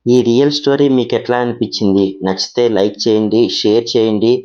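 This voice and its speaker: A man's voice: mid-range voice, brisk, darkish tone, no echo, highs cut off. A man narrating a horror story as the host of a storytelling channel.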